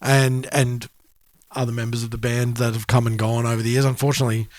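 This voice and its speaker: A man's voice: medium pitch, little pitch movement, fast talker, a little mellow, dry recording. An Australian man co-hosting a music podcast, chatting casually in a laid-back, matey way. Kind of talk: casual chat.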